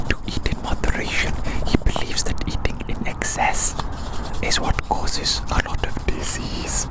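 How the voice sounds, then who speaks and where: An ASMR host speaking entirely in a breathy, unvoiced whisper, gently and slowly repeating phrases in a soothing ramble. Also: low-quality recording. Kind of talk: ASMR roleplay, soft rambling. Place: outdoors.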